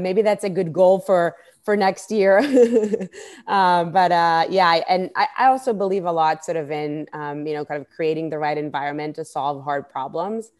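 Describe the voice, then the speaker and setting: Interview guest: woman, 30s, from Israel, low voice, hesitant conversational delivery, video call. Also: darkish tone. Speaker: interview guest, startup founder. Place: indoor room, video call. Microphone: earphone mic on video call.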